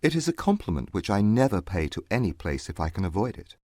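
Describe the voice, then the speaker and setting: An actor playing a proud, aloof young gentleman in a dramatized audiobook, with clipped, formal, haughty delivery. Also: lowish voice, big pitch swings, fast talker, no room sound, clean studio sound. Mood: cool disdain.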